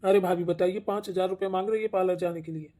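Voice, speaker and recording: A man's voice: high-pitched, fairly even intonation, fast, warm tone, natural voice. A man voicing a stingy, evasive village husband in a family cartoon, grudging but placating. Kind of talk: Hindi family cartoon dialogue.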